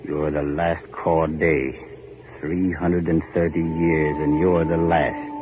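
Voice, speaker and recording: An actor playing a grim, bitter older factory overseer in a 1940s radio horror play, with menacing, taunting, rustic speech. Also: male voice, a bass voice, flat delivery, slow pace. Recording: noisy, dull 1940s radio recording.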